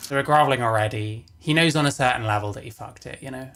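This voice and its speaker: A man's voice: mid-range voice, fast talker, fairly bright tone, slight background noise. A man giving casual, matter-of-fact livestream commentary, blunt and prone to swearing. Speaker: stream co-commentator.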